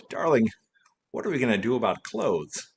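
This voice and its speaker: A man's voice: medium pitch, huge pitch swings, normal speed, creaky. A man playing a wry, teasing husband and witty sleuth in an old-time radio mystery, with a dry, playful manner. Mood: teasing, affectionate.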